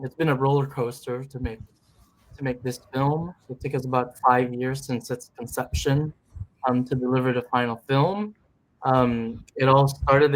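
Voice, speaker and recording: A man's voice: mid-range voice, rough recording. A man from the Philippines, a filmmaker answering interview questions in a reflective way with many fillers and restarts. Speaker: filmmaker guest.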